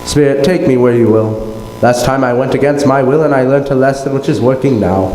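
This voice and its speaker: A man's voice: mid-range voice, a little fast, close to an everyday voice. A man playing a humbled old miser in a live radio play, earnest, hesitant and uneasy. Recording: very echoey hall, loud background noise.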